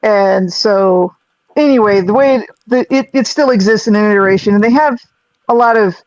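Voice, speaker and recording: Woman, low voice, rambling casual talk, dull dry call audio. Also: moderate pace, darkish tone, no echo. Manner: rambling, restarts, self-deprecating laughs. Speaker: singer and sound technician. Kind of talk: casual group video-call chat.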